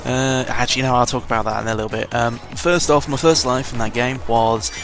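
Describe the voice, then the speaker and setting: A man's voice: medium pitch, steady tone, a little fast, creaky, fairly bright tone. A British man giving casual, rambling game commentary, digressive and sweary, often correcting himself as he goes. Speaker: gaming vlogger. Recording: music under the voice. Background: music.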